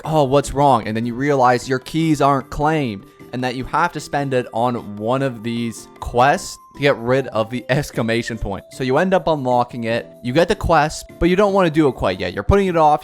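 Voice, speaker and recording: A man of middle pitch talks casually on his gaming channel, reading a developer update and joking about himself. His manner is chatty and loose. Faint music plays under his voice. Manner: self-deprecating, chatty, mock-excited cheers.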